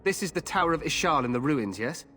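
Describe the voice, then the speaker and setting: A man's voice: on the high side, animated, fast, fairly bright tone. An actor playing an eager, glory-hungry young king in a video game, speaking in a regal, confident, commanding manner. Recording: long hall reverb with game music behind.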